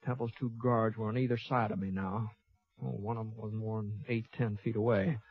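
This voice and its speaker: An American actor playing a laconic, folksy gunfighter who narrates a 1950s radio western in a halting, drawling way. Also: male voice, fairly low pitch, steady tone, breathy edge. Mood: tense, measured. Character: laconic, folksy, fair-minded Old West gunfighter narrating his tale. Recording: dull lo-fi vintage 1950s radio recording.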